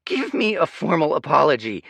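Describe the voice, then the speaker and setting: A man's voice: wildly swooping pitch, moderate pace, gravelly, a bit bright, no room sound, heavily acted. A man voicing a pompous, whiny young noble boy who yelps and snivels. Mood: indignant. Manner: shrill, yelping and sniveling.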